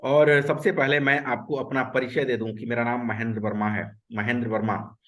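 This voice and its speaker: A man's voice: medium pitch, level tone, moderate pace, fairly bright tone, dull audio. A man from Uttar Pradesh teaching an online webinar over a video call, earnest and repetitive, mixing English words into his talk. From Uttar Pradesh, India. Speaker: webinar instructor.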